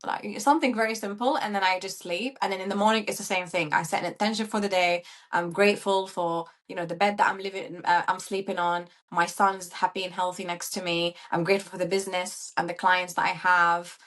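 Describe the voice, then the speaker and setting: A woman from Syria, a coach who is a guest on a podcast, talking in a chatty, animated way with plenty of fillers. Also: fairly low pitch, fairly quick, few pauses. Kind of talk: casual interview chat.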